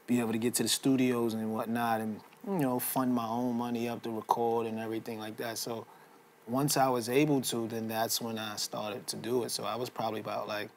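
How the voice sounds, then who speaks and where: Man in his twenties, rapper guest, relaxed slangy talk, faint background noise. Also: medium pitch. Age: 20s. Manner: laid-back, laughs easily, slangy. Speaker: rap artist guest. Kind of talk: casual interview answers.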